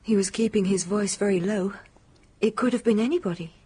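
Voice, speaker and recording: A woman playing a bitter, contemptuous married hotel guest in a radio mystery, husky and tightly controlled. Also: mid-range voice, brisk, heavy background noise, natural voice. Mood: tense recounting. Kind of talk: radio mystery drama acting.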